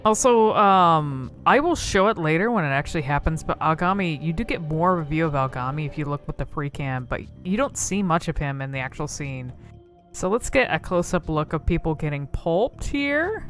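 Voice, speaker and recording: A woman's voice: low-pitched, animated, normal speed, a bit bright, soft background music. A woman giving casual game commentary, rambling and thinking aloud as she explores cutscenes.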